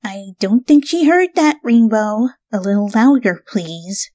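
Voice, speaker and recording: A woman's voice: fairly high pitch, animated, unhurried, darkish tone, no echo, voice pushed up. A woman voicing an earnest, bossy young female pony scholar in a story reading, articulate and scolding. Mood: stern.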